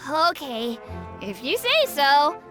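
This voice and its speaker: An innocent little boy from an anime game, eager and guileless. The voice is very high and lilting, taken slowly, with music playing behind it. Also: very animated, exaggerated voice. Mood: innocent, concerned. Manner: childlike, guileless. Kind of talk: English anime game dub.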